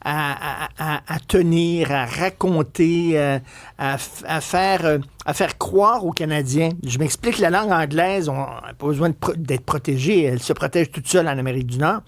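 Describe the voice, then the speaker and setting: A man with a Québécois accent hosting a talk radio interview. His voice sits a little high and sounds dry and close on a studio microphone. He questions in a probing, conversational way, often restarting his sentences. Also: moderate pace, no echo. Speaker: radio host.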